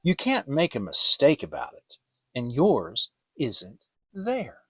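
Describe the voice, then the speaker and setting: A man's voice: medium pitch, wildly swooping pitch, slow, fairly bright tone, breathy edge, soft background music, exaggerated voice. A man voicing a kindly, earnest bear in a children's storybook, simple and helpful, speaking with emphasis. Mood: emphatic. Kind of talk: dramatic children's audiobook reading.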